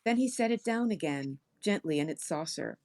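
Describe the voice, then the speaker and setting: A woman narrating a horror story aloud in an expressive read-aloud style. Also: deep voice, moderate pace. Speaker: story reader on a stream.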